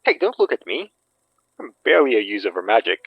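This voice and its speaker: A man's voice: medium pitch, very animated, fast talker, husky, no echo, muffled recording. An actor playing a wry, cautious, self-deprecating man in an audio drama, talking in a casual, dry-humored way.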